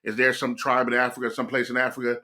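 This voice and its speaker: Bright-voiced American man reacting casually. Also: medium pitch, little pitch movement, fast talker. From United States. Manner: reflective, rambling, addresses viewers directly. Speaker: reaction video host.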